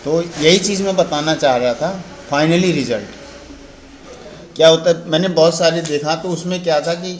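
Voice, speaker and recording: A man's voice: on the high side, a little slow. An Indian man giving an informal repair tutorial as a technician, explaining step by step and often repeating and rephrasing his points. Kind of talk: informal spoken repair walkthrough. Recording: roomy echo, faint background noise.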